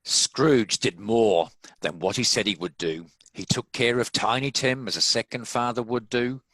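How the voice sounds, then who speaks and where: A man's voice: mid-range voice, measured pace, a bit bright, no room sound, low-quality recording. A man with a New Zealand accent narrating a scripted classic story.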